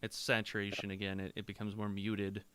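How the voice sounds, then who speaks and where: A man narrating a tabletop game as game master, describing things haltingly, step by step. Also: on the low side, monotone, a bit bright.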